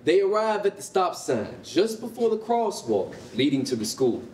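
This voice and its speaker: A man's voice: high-pitched, big pitch swings, moderate pace, a little mellow. An American man reading scripted stage directions as narrator at a staged reading. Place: concrete-walled rehearsal hall. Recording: roomy, distant mic, faint noise.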